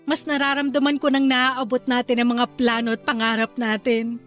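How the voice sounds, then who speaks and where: A woman's voice: a little high, little pitch movement, moderate pace, a bit bright, faint music behind, close to an everyday voice. An actress playing a caring young wife and new mother in a radio drama, sweet and affectionate. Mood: tender.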